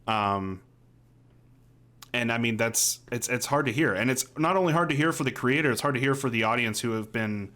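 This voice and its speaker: A man's voice: medium pitch, normal speed, pushed and bright. A man, chatting casually as a podcast co-host on a video call. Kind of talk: casual chat. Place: home room, video call.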